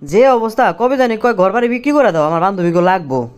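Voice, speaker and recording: A woman's voice: mid-range voice, animated, fast, muffled recording, natural voice. A woman voicing an exasperated, henpecked young husband in a comic cartoon, complaining with a Bangladeshi accent. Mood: incredulous. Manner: complaining, incredulous. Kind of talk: comic cartoon dialogue in colloquial dialect.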